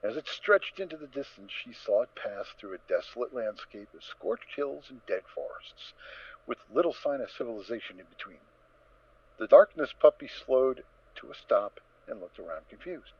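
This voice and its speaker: A man narrating a scripted story in a theatrical, expressive storytelling manner. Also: mid-range voice, moderate pace, slightly rough, lo-fi sound.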